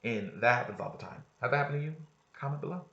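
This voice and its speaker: A man's voice: mid-range voice, expressive, fast talker, fairly bright tone. A man teaching casually, talking straight to his students while reading a novel aloud. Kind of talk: read-aloud lesson with discussion. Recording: roomy, slightly dull recording.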